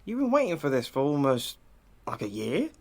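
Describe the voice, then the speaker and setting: English teen son, casual teasing, really animated pitch, radio drama. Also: male voice, normal speed, exaggerated voice. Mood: probing, teasing. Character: casual, caring teenage son. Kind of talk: naturalistic audio drama acting.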